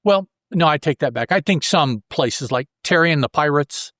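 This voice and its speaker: A man co-hosting a podcast in casual chat, halting and often rephrasing as he goes. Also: on the high side, expressive, a bit bright, no echo, clean studio sound. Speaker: podcast co-host.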